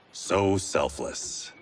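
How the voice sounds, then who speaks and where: A man's voice: low-pitched, slight background noise. A man playing a proud, determined warrior with a dry, scornful edge in a video game. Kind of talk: video game cutscene acting.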